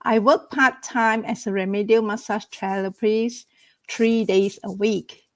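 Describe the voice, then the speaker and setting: A woman from Malaysia, an entrepreneur and mentor, giving a slow personal talk in an online group. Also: mid-range voice, fairly even intonation, dry recording.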